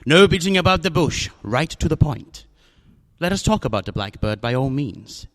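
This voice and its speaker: An actor playing a smooth, fat, older male villain in a radio drama, with ornate, courtly speech and a constant "sir". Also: a little high, very animated, moderate pace, big-room sound.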